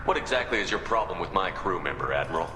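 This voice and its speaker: A man playing a direct, commanding human military captain in a video game, asking brisk questions. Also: sits low, expressive, a little hiss or hum. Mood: probing, firm. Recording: long hall reverb, loud music behind.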